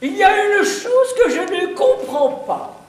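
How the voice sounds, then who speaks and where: A man playing a pompous, high-voiced husband on stage. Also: sing-song, a little slow, full character voice. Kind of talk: amateur stage acting. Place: theatre stage before an audience. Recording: echoey hall, heard from a distance.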